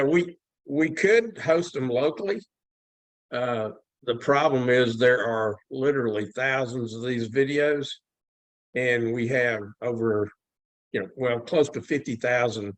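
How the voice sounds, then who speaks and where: A man with a medium-pitched voice speaks very slowly and deliberately. He sounds close and dry as he explains casually on a call. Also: no echo. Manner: halting, deliberate. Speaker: participant in a software community call.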